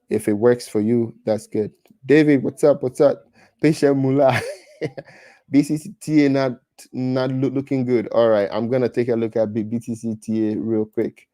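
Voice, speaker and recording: A man's voice: mid-range voice, mellow, no echo. Man with a Ghanaian accent on a livestream. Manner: restarts and repeats words, reads out viewer comments. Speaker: livestream host. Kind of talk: casual livestream chat.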